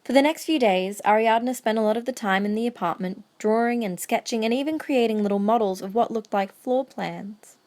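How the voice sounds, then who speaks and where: A woman's voice: fairly high pitch, brisk, hardly any pauses, a little mellow. A woman reading scripted third-person narration for an amateur audio drama.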